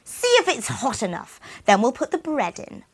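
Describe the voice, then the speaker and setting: A woman's voice: sing-song, normal speed, husky. A woman voicing a wicked, half-blind old witch who cackles and screeches at children. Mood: coaxing. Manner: screeching, shrill.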